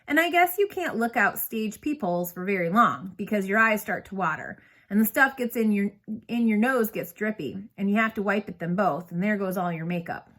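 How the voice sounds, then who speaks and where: A woman narrating a novel aloud in an expressive storytelling manner, putting emphasis on key words. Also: mid-range voice, a little fast.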